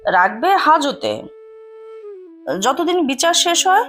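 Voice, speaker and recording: A woman's voice: high-pitched, big pitch swings, slow pace, a bit bright, faint music behind, voice pushed up, exaggerated voice. A woman voicing a stern, brusque male lawyer who scolds and instructs with authority in an audio story. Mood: shrewd, instructing. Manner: scolding, commanding, clipped instructions.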